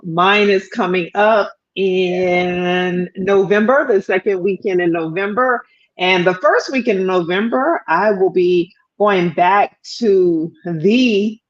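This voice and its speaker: A middle-aged woman co-hosting a live talk stream, animated and playful, laughing often. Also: on the low side, lo-fi sound. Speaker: co-host. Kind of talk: lively live-stream chat.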